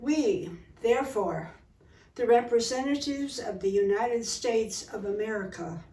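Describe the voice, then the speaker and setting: A woman reading a historic document aloud in a solemn, deliberate, measured way. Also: fairly high pitch, dark tone. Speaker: public reader at a commemorative event. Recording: roomy echo, distant microphone.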